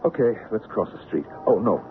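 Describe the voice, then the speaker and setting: An actor playing a persuasive, excitable Broadway producer in an old-time radio drama, with a theatrical, emphatic delivery. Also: male voice, on the low side, brisk, close to an everyday voice. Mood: wistful, coaxing. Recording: noisy, narrow-band old radio recording.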